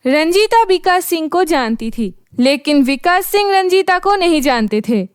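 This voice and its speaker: A woman's voice: very high-pitched, lively intonation, moderate pace, no room sound, studio quality. A woman narrating a fairy tale from a script.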